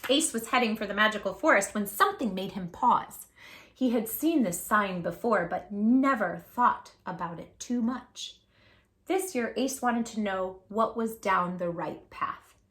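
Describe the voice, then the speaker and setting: A woman hosting storytime, reading a children's picture book aloud in a gentle, expressive, affectionate way. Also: medium pitch, unhurried, roomy sound.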